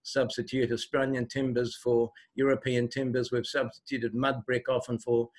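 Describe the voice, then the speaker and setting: Older male questioner from Switzerland, mid pitch, formal, erudite, discursive. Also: little pitch movement, moderate pace. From Basel, Switzerland. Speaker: audience member asking a question. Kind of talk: long, considered spoken question.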